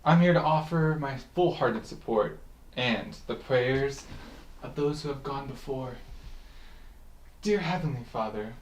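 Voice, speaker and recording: A man plays a theatrical, mock-solemn male friend who teases in florid, pompous phrases. His voice sits at a medium pitch, with faint noise in the comedy-drama recording. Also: a little slow, pauses often, lightly acted. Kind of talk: acted dialogue in a comedy-drama web series.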